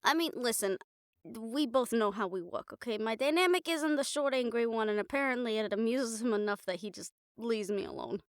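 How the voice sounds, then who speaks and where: A woman playing a caring, blunt, teasing young girlfriend in an improvised audio roleplay, chatting casually and haltingly to the listener. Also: on the high side, no echo, studio quality, natural voice. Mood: playful, blunt. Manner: casual, halting, playful swearing, affectionate teasing.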